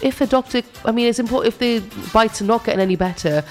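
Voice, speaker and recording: A woman with a South Welsh accent explaining medical matters in a calm, measured way. She has a slight cold, and faint music sits behind her voice. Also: fairly high pitch. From South Wales. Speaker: doctor guest. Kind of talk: medical advice on radio.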